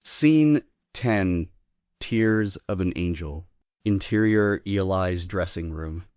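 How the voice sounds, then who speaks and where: A man narrates a play script in a low-pitched voice. He reads scene headings and stage directions, and the sound is dry and close. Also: takes it slowly, darkish tone, clear, resonant tone, no echo.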